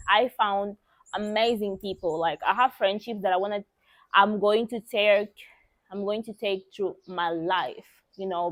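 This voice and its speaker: A woman's voice: mid-range voice, no room sound, rough recording. A young woman from Mozambique with a Mozambican accent and Portuguese as her native language, telling personal stories casually to viewers in a candid, emphatic way. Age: young adult. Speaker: vlogger.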